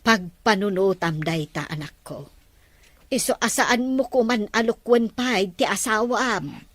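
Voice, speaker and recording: A woman's voice: mid-range voice, unhurried, fairly bright tone, no echo. A woman with an Ilocano accent acting a patient, pleading mother in a family drama. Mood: gently pleading. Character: patient, pleading mother advising her grown son.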